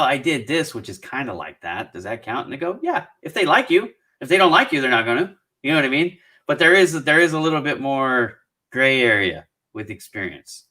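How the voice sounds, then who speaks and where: A man hosting a casual live-streamed Q&A on job searching, animated and joking, with quick restarts. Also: mid-range voice. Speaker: career advice show host.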